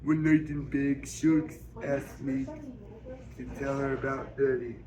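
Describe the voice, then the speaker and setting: A man's voice: mid-range voice, flat delivery, slow pace, crowd noise. A man reading a novel aloud, delivering first-person narration written in Southern dialect. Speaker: book reader. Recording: noisy public store ambience.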